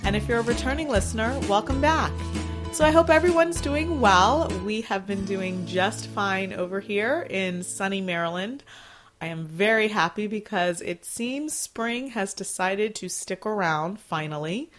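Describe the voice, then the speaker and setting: A woman in her forties hosting a casual solo podcast from home, chatty and rambling, her voice still a little scratchy and clearing her throat after being sick. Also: mid-range voice, unhurried, faint music behind. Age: 40s. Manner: chatty, rambling, with fillers and soft laughs. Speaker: podcast host.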